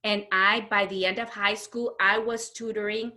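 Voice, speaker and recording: A middle-aged woman from Honduras speaks in a bright, forceful voice. She tells things in an animated, conversational way, stressing her points and slipping into quoted speech as an interview guest. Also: mid-range voice, moderate pace. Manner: emphatic, acts out quoted speech. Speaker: interview guest, homeschooling mother.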